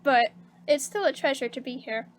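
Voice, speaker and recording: A bubbly woman streamer with a high voice chats casually into a desk mic, laughing a lot and filling pauses with "um" and "like." Her voice sounds a little hoarse, with a faint hiss of background noise behind her. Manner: bubbly and chatty, laughs often, many fillers like "um" and "like". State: slightly hoarse. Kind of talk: casual stream chat reading out viewer messages.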